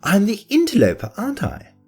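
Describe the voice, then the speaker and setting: A man's voice: sits high, huge pitch swings, fairly quick, breathy edge, faint music behind, full character voice. A man playing a haughty nobleman who talks in a clipped, sardonic, imperious way in an audio drama. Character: haughty male nobleman hiring a ghost hunter.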